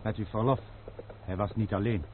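A man's voice: fairly low pitch, steady tone, moderate pace, noisy background, close to an everyday voice. A man playing a humble, loyal servant who speaks deferentially in an old radio drama. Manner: deferential, hushed. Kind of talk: vintage radio drama acting. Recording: phone-like narrow band from a distance.

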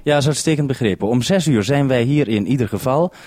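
A man talking casually and practically over a radio link, with some halting phrases. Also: mid-range voice, noisy background. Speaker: radio presenter and contact on shore. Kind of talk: informal radio link conversation.

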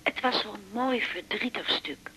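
An actress playing a chatty, nosy middle-aged mother in a naturalistic radio drama, fussy and quick to interject. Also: mid-range voice, animated, bright, forceful tone, slight background noise, rough recording. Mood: wistful, chatty. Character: chatty, nosy widowed mother of grown daughter.

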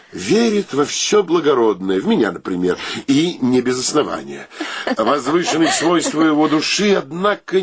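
A man in a radio play acting a boisterous, boastful bachelor who teases his friends and gallantly flatters ladies in grand, bantering phrases. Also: medium pitch, gravelly, slightly rough, exaggerated voice.

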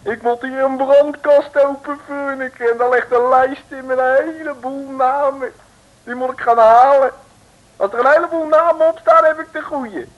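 A man's voice: very high voice, fairly even intonation, moderate pace. A man playing a brash, impatient convict safecracker who talks in chummy, bossy underworld slang in a comic radio serial. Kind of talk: 1950s Dutch comic radio serial acting. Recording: dull, lo-fi old radio recording.

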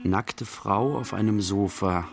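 A man in a radio play, playing a detached observer who recites terse image descriptions in clipped, deliberate phrases. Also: fairly low pitch, gravelly, faint music behind.

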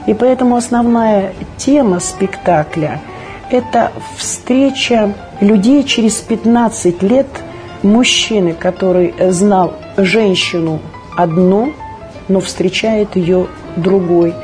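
Female theatre director, reflective personal talk, soft mellow tone, medium pitch, light music underneath. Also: slow pace. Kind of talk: reflective personal talk about own work. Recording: faint music behind the voice.

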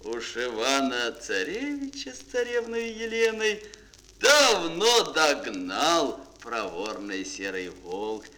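A male storyteller performs a fairy tale for children with theatrical flair. His fairly high voice moves slowly and lingers dramatically on key words, swooping widely in pitch. Music plays behind him. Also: sharp tone. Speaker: storyteller, narrator.

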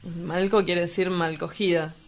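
A woman's voice: lowish voice, little pitch movement, a little slow. A woman playing a cynical, evasive young male outcast in a dramatized dialogue, with an Argentine accent and a dry, offhand manner. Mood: blunt.

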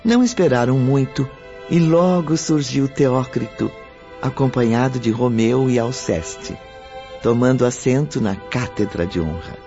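A man's voice: medium pitch, warm tone, close to an everyday voice. A Brazilian man narrating a scripted radio drama as a literary storyteller. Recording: muffled, with music underneath.

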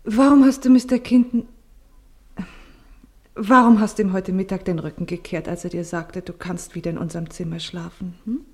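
Tired gentle wife, husky breathy voice, kinda fearful, hushed, vintage german radio play. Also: female voice, lowish voice, fairly even intonation, moderate pace. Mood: reproachful. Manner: soft, motherly, often hushed.

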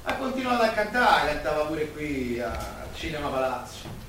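Gossipy working class roman man, high voice, radio play, really echoey room. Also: moderate pace. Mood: sad, confiding. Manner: colloquial, expressive, gossipy. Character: chatty working-class Roman man, warm-hearted, plain-spoken. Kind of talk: Italian stage-style radio theatre dialogue. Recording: distant, very echoey room, faint noise.

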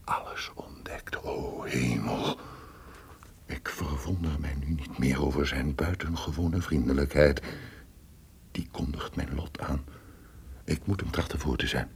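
An actor playing a scheming, suspicious conspirator who feigns friendship, with formal theatrical delivery in a gothic radio drama. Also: male voice, extremely low pitch, unhurried, heavily acted. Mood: vengeful. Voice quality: gravelly, husky. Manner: formal, theatrical, breaking into tense muttering.

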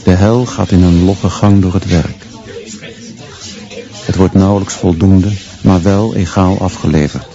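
An actor playing a stern male schoolteacher who narrates a radio play in clipped, measured, grimly deadpan phrases. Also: low-pitched, dull audio, soft background music. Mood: stern, detached.

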